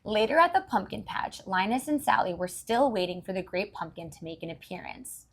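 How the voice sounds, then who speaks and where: A woman's voice: mid-range voice, expressive, a little fast, breathy edge. A woman reading a storybook aloud to young children, with encouraging, explanatory asides.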